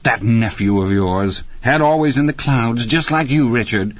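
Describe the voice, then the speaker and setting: A man's voice: mid-range voice, moderate pace, voice pushed up, lightly acted. A man voicing a coarse, bullying, overweight aging wife in an audiobook, impatient and full of contempt. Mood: scornful, sneering. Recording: roomy, slightly dull audio.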